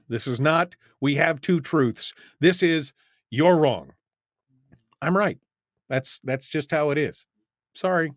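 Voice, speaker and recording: A blunt American radio host with a slightly high male voice, recorded close and dry. He talks in an opinionated, emphatic call-in style and likes to hammer a point by repeating it. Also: animated, slow, long pauses, no echo. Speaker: radio talk show host.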